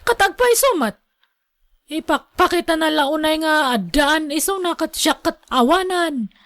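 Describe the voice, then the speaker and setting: A woman plays a nagging middle-aged Ilocano mother in a radio drama. Her voice is fairly high and closely recorded with little room sound, and she scolds and grumbles with a strict, envious temper. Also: expressive, measured pace, a bit bright, no echo, full character voice. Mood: resentful.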